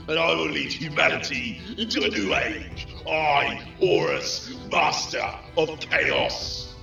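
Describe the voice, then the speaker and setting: Man putting on a mad roaring warlord demigod, slow drawl, gravelly, echoey with music. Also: a little high, bright, forceful tone, voice pushed up, exaggerated voice. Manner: roaring, sneering, manic.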